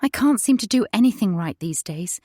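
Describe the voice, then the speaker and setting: A woman's voice: medium pitch, expressive, brisk, dark tone, slightly rough, dry recording. A woman voicing an anxious young wife in an audiobook, timid and shaky, close to tears. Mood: nervous. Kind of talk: audiobook character voice.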